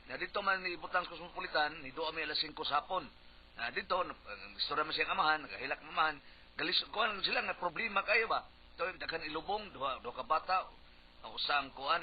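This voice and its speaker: Male radio host, Cebuano accent, high-pitched voice, casual banter, dull recording with faint noise. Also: animated, quick pace.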